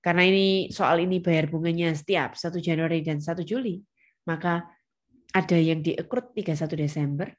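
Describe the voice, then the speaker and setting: A woman's voice: on the low side, brisk. A woman lecturing to students, explaining step by step with emphasis on key words and checking that they follow. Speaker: accounting lecturer. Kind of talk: spoken lesson with questions to students.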